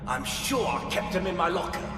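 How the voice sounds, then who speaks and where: A man's voice: sits high, big pitch swings, moderate pace, vocal fry, exaggerated voice. An actor playing a bossy, exasperated man at a mine in a video game. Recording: echoey hall, loud music behind.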